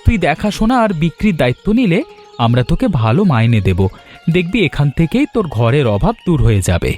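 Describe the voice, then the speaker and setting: A man's voice: a little high, sing-song, fast, darkish tone, faint music behind. A man voicing a supportive, brotherly young village man in a cartoon drama. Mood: reassuring. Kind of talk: cartoon voice acting.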